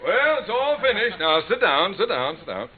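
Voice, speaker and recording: An actor playing a proud, stubborn middle-aged husband and amateur gardener in a vintage radio sitcom, hesitant yet self-important. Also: male voice, sits high, big pitch swings, normal speed. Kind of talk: 1950s radio sitcom acting. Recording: tinny vintage radio broadcast recording.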